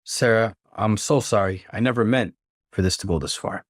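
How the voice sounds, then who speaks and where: A man's voice: on the low side, normal speed, no echo, studio quality, close to an everyday voice. Man reading as a jealous, defensive woman. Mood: apologetic. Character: jealous, bitter best friend, a woman, confessing.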